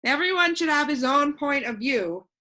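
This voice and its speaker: Cheerful storybook boy, played by a woman, proud and lecturing; high-pitched, crisp and bright. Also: expressive, moderate pace. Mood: breezy, instructive. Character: cheerful, know-it-all young boy who floats in air. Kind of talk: animated children's storybook reading.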